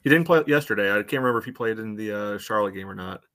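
A man with a somewhat low voice co-hosts a soccer podcast. He gives casual, conversational analysis, hedging as he goes and leaning on "you know". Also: racing, bright, forceful tone.

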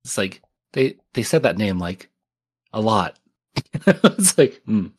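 A man's voice: lowish voice, big pitch swings, takes it slowly, husky. A man co-hosting a podcast in casual chat, hesitant and full of restarts. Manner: hesitant, many likes and restarts. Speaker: podcast co-host.

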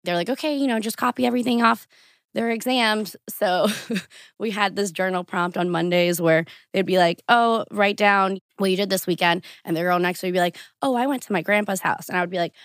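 A woman's voice: medium pitch, a little fast, no room sound, clean studio sound. Chatty young woman from Brazil. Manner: chatty, lots of "like", laughs often. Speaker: guest. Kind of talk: casual podcast chat.

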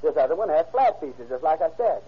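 An actor playing an eager, emphatic man with a husky voice in an old-time radio crime drama. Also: a little high, big pitch swings, fast talker. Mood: insistent, certain. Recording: dull, lo-fi vintage radio recording.

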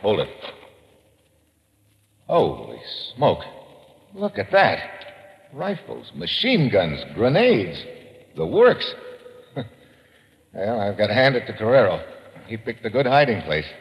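Deep, hard-boiled radio adventure hero. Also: male voice, huge pitch swings, takes it slowly, creaky, pauses often, exaggerated voice. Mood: amazed. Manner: terse, slangy, hard-boiled. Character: tough, wry, slangy male adventurer hero. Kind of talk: 1950s radio drama acting. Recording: old radio recording, muffled, boomy reverb, hiss.

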